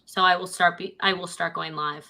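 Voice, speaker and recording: A woman's voice: on the low side, steady tone, moderate pace, pushed and bright. A woman with a New York accent, a guest on a podcast, talking bluntly in casual chat and repeating her points. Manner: blunt, declarative, repeats herself.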